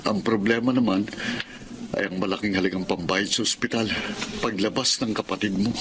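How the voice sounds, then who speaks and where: Male actor for troubled working dad in radio serial, humble, low-pitched, noisy recording. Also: steady tone, a little fast, close to an everyday voice. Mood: grave, worried. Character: worried working-class father, a jeepney driver, humble and anxious. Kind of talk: Tagalog radio drama acting. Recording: clear background noise.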